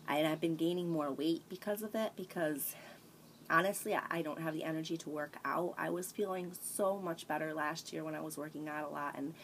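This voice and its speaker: A woman's voice: low voice, fairly even intonation, brisk, a little hiss or hum. A woman in her thirties talking candidly and rambling in a casual home vlog, recorded on a tablet in a small sunny room. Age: 30s. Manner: rambling, candid, frequent restarts and sighs. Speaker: vlogger. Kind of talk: casual confessional chat. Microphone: tablet camera at arm's length.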